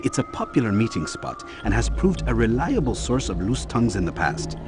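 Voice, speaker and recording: A wildly expressive, teasing informant. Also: male voice, on the low side, over music. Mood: helpful, knowing. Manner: theatrical, drawn-out, gleefully sarcastic. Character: playful, teasing bureau informant, effusive and mocking. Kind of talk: video game voice acting.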